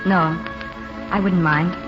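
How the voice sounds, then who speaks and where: A woman's voice: on the low side, fairly even intonation, slow pace. Frank yearning small-town girl, earnest, muffled 40s radio drama sound. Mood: subdued. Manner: frank, plainspoken, eager. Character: earnest, blunt, yearning young desert waitress. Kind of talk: 1940s radio acting. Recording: dull 1940s radio broadcast with music.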